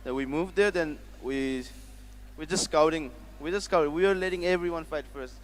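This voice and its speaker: A man's voice: a little high, normal speed, a little hiss or hum. A young man whose first language is Nepali, hesitantly answering interview questions in English. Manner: hesitant, filler-heavy. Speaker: esports player. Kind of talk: post-match interview answers.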